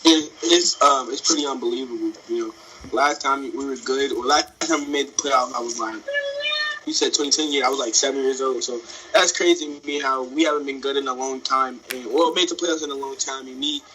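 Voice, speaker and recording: Bright-voiced teenage boy, hesitant. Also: medium pitch, fairly even intonation, brisk, slight background noise, rough recording. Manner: hesitant, many restarts and ums. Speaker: podcast co-host. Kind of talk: casual sports podcast.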